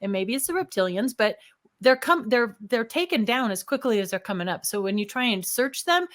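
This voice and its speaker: A woman's voice: on the high side, animated, a little fast, fairly bright tone. A woman co-hosting a casual talk show, skeptical and easygoing, presenting the case of the week. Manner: skeptical, easygoing, laughs often.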